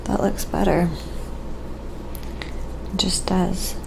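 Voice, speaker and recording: A soft-spoken, sometimes whispery woman chatting casually on an ASMR painting livestream, musing aloud in an unhurried way. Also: on the low side, steady tone, gravelly, background noise.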